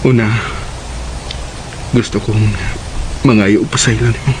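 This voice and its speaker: A man's voice: on the low side, fairly even intonation, a little slow, background noise, lightly acted. A man acting an ardent ex-boyfriend in a Cebuano radio drama, with an earnest, coaxing manner. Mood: remorseful, pleading. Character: Edon, pleading ex-boyfriend, ardent smooth-talking suitor.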